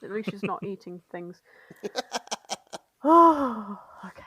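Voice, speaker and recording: A woman's voice: mid-range voice, sing-song, measured pace, bright, forceful tone, breathy edge, dry recording. A woman co-hosting a podcast, retelling fan fiction in a giggly, sweary, informal comedic chat. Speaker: podcast co-host.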